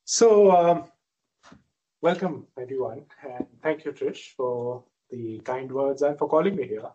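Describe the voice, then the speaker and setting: A middle-aged man from India giving a relaxed academic lecture as a visiting professor, self-deprecating and full of fillers. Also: mid-range voice, measured pace, highs cut off, noisy background.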